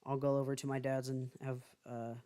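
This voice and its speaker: A man with a light, higher voice that callers sometimes take for a woman's, rambling through casual stories. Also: brisk, smooth. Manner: rambling, relaxed storytelling. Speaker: stream co-host. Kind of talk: casual chat.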